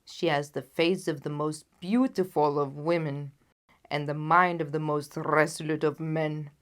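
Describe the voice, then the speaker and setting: A woman's voice: sits low, steady tone, measured pace. A woman voicing a haughty, anxious king in a storybook, speaking in a solemn and emphatic way. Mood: grave, anxious. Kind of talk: dramatised audiobook reading.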